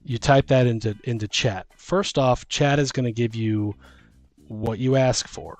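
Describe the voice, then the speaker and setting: Guy chatting on a podcast, average pitch, informal, arguing with what-ifs, bit of music behind. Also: fairly even intonation, measured pace, no echo. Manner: informal, argues with hypothetical examples. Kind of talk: casual podcast discussion.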